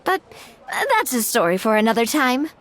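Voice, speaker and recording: A woman's voice: on the high side, very animated, brisk. An actress playing a poised, friendly young woman who acts as a guide in an anime-style fantasy game. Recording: music behind the voice.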